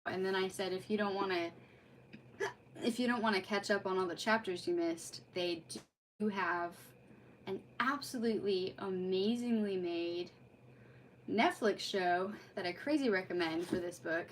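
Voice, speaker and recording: A woman's voice: medium pitch, moderate pace, slight background noise, low-quality recording. A woman chatting casually on a livestream in an effusive, rambling way, as host of a read-aloud. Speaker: streamer hosting a live read-aloud.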